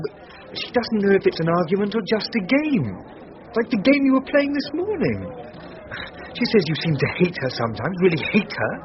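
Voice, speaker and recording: A young son in a radio play, earnest and quietly reproachful. His voice sits a little high and moves around expressively. He questions politely but pointedly, on a slightly muffled recording. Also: background noise. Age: young adult. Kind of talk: British radio drama acting.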